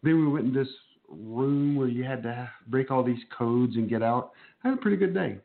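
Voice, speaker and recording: A man's voice: medium pitch, normal speed, vocal fry. A man hosting a casual radio chat, nasal from a cold, joking and laughing often. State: getting over a cold, nasal. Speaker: host. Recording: phone-like narrow band.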